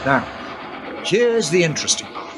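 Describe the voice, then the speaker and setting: A man's voice: a little high, big pitch swings, moderate pace. A man playing a patient, lecturing guide. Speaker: guide character. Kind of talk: radio play acting. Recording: background noise.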